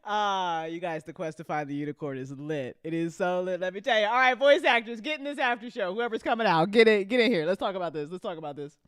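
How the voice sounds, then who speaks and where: An effusive podcast host chatting casually and excitedly, using slang and given to laughing. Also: medium pitch, quick pace, few pauses, smooth, no room sound, clean studio sound. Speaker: host of a fiction podcast after-show.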